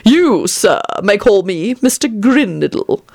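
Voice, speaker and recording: Woman doing a haughty wizard man, icy, sneering, pompous, deliberate. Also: medium pitch, sing-song, gravelly, a little mellow, no echo, studio quality, exaggerated voice. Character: haughty, ancient male wizard official.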